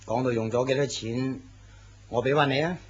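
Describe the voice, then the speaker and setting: An actor playing an arrogant, domineering company president in a radio drama. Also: male voice, medium pitch, fairly even intonation, moderate pace, breathy edge, close to an everyday voice. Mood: commanding. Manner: curt, domineering. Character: arrogant middle-aged male company president. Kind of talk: Cantonese radio drama acting. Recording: dull lo-fi recording.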